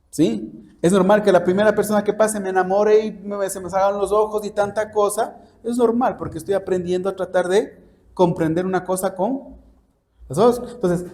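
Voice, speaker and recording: Male preacher, high pitch, mellow tone, didactic Bible teaching, frequent rhetorical questions. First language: Spanish. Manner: didactic, often asking rhetorical and checking questions. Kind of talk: expository sermon taught like a lesson.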